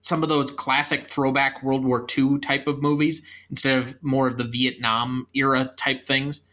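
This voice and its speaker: A man's voice: medium pitch, level tone, normal speed, pushed and bright. A young American man talking about films as a podcast host. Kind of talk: film podcast discussion and sign-off.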